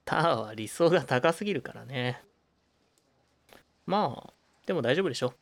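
A man's voice: medium pitch, animated, normal speed, creaky. A man playing a laid-back, slightly grudging friend with wry, offhand delivery in an amateur comedy radio drama. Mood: reassuring.

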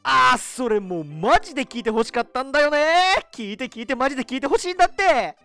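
A man playing an excitable, lazy, girl-starved university student with a whiny manner in an amateur radio drama. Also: very high-pitched, fast, faint music behind, full character voice. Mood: excited.

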